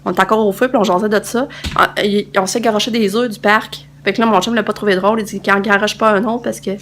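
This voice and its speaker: A woman's voice: medium pitch, fairly even intonation, fast, fairly bright tone, slight background noise. A young woman with a Québécois French accent, vlogging casually to a handheld phone. She is tired and stuffed up with a cold, and she rambles with many fillers and restarts. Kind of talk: casual vlog chat to camera. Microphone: handheld phone camera.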